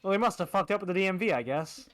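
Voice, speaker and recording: A man's voice: sits high, animated, rapid-fire, fairly bright tone, no room sound, clean studio sound. A man reading fan fiction aloud on a stream in a comic style, amused and given to asides.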